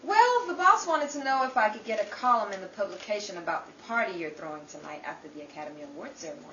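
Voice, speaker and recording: A woman playing an exasperated, pleading 1950s newspaper columnist in an old-time radio comedy, excitable and protesting. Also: mid-range voice, fast talker, a little hiss or hum. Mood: eager. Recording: echoey room, distant mic, dull sound.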